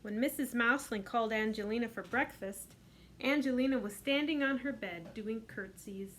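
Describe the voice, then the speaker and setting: Gentle middle-aged woman reading a picture book. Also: fairly high pitch, moderate pace, slight background noise. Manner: gentle, expressive storyteller, sing-song lifts. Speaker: children's librarian. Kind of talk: storytime read-aloud for children.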